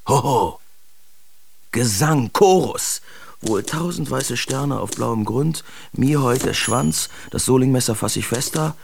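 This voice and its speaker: An actor playing a brash, mocking male Dadaist artist, reciting theatrically in an expressive radio drama. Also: mid-range voice, gravelly. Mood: rowdy, raucous.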